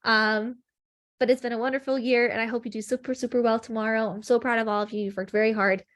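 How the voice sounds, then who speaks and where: A woman's voice: fairly high pitch, little pitch movement, quick pace. A young woman leading a chemistry review session over a video call, sniffly and congested, explaining in a friendly way with lots of ums. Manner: friendly, filler-heavy explaining with frequent ums.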